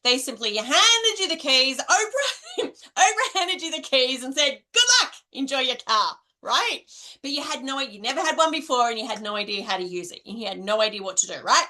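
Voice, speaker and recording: A woman's voice: high-pitched, expressive, normal speed, bright, forceful tone. A middle-aged Australian woman coaching in a chatty monologue, tagging nearly every point with "right?". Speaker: direct selling coach. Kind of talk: casual coaching monologue to camera.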